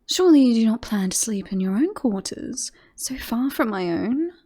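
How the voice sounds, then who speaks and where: A woman playing a smug, romantic royal in an ASMR roleplay, teasing and sultry with breathy, whispery phrases. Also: a little high, expressive, moderate pace, warm tone. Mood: playfully indignant.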